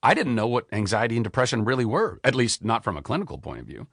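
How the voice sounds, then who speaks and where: A man in his forties reading his own memoir aloud as an audiobook, in a candid, expressive storytelling manner. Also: fast, creaky, husky, dry recording, studio quality. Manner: expressive, candid storytelling with wide pitch swings.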